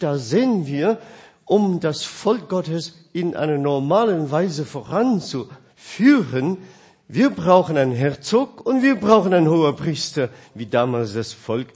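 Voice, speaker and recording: A man preaching a sermon in German, which is not his first language, asking his listeners questions and swinging between loud and quiet. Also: fairly high pitch, slightly rough.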